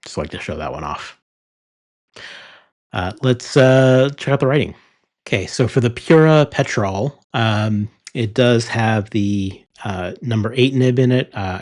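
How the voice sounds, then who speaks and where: A man hosting his fountain pen channel, talking through a pen review in a relaxed way with many ums and uhs. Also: fairly low pitch. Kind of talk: casual product review.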